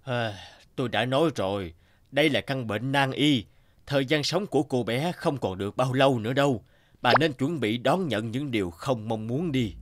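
A man's voice: medium pitch, normal speed, natural voice. A man playing a grave, resigned middle-aged village healer in a cartoon. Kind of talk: cartoon dubbing.

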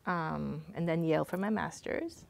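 Gravelly-voiced woman with a Boston accent. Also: low voice, normal speed. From South Korea, raised in Massachusetts. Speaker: guest violinist. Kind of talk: casual interview. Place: TV studio set. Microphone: lapel.

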